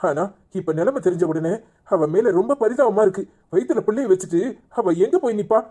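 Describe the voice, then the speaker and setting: A man's voice: high voice, lively intonation, fairly quick, warm tone, husky. A man playing a troubled, apologetic family man, worried and deferential, in a cartoon village drama.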